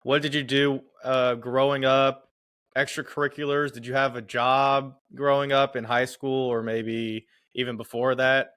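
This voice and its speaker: A man hosts a casual podcast interview, keeping his questions short and upbeat. His voice sits at a mid-range pitch. He nudges the talk along with quick affirmations. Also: little pitch movement, fairly bright tone, clear, resonant tone. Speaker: podcast host.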